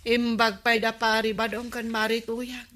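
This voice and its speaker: Unhurried barrio gossip, bright mid-pitched voice, Ilocano accent, drawn-out comic chatter. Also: female voice, little pitch movement. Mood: gossipy. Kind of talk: broadcast comedy drama acting.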